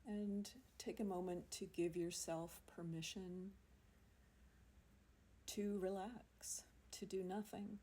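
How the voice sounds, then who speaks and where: A woman leading a guided yoga nidra meditation in a soothing, unhurried manner, leaving long pauses between phrases. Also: medium pitch, level tone, dark tone, husky. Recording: amateur recording with faint background noise.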